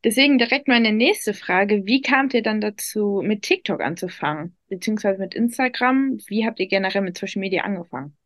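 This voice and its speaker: A woman's voice: medium pitch, a little fast, few pauses, no echo, clean studio sound. A young woman working as a youth reporter, asking upbeat, friendly interview questions. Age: young adult.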